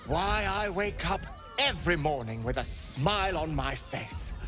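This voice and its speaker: A fussy, flamboyant merchant character for a fantasy game. His voice is high and very animated, delivering grandiose, besotted patter at a stately pace. Music plays behind him. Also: unhurried. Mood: besotted, flustered. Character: eager, besotted, flamboyant male sculptor-merchant. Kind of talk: theatrical game character acting.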